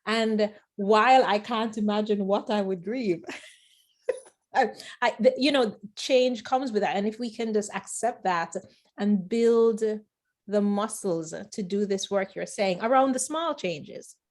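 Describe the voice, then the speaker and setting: Host: woman with a middle-pitched voice, reflective and unhurried, pausing to find words. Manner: thoughtful, hesitant, many fillers. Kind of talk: interview conversation.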